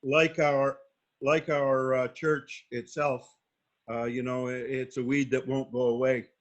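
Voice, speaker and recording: Flat-toned, halting man. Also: mid-range voice. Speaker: panel participant. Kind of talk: informal answer in a discussion. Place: online video call. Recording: slightly dull online call audio.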